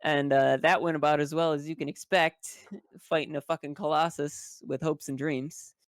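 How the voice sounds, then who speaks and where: A player of a tabletop role-playing game giving a rambling, halting spoken recap in casual language, with wry phrasing and free swearing. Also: medium pitch, fairly even intonation, dry recording, studio quality. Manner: swears freely, wry and colloquial.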